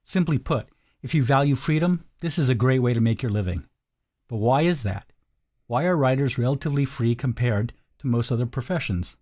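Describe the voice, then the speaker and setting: A man's voice: mid-range voice, animated, brisk, dark tone. A man narrating a scripted sales video in a conversational, persuasive manner. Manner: conversational, persuasive, rhetorical questions.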